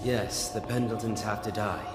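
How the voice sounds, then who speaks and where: A man's voice: lowish voice, fairly even intonation, moderate pace, lightly acted. An actor playing a solemn former churchman turned strategist in a video game, grave and terse, recorded with long echoing reverb and music underneath. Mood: grim, disapproving. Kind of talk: scripted game dialogue.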